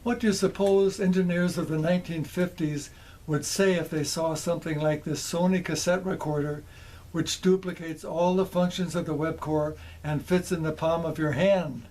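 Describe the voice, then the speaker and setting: An older man in his seventies narrating a scripted demonstration in a measured, methodical way. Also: on the high side, fairly even intonation, a little mellow, low-quality recording. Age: older, around 70s. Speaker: presenter of vintage electronics. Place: small indoor electronics workshop.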